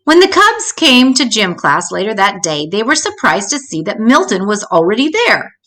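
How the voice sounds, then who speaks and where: A woman reads a children's storybook aloud. Her voice is bright and forward, at a middling pitch, and she tells the tale expressively, as if for young listeners. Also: big pitch swings, normal speed. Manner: acts out dialogue with changing pitch.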